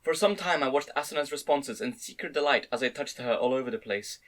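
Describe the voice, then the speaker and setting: A man's voice: mid-range voice, fairly quick, fairly bright tone, poor audio. A man narrating a first-person story as an amateur dramatic reading. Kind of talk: dramatic reading of fan-read light novel narration.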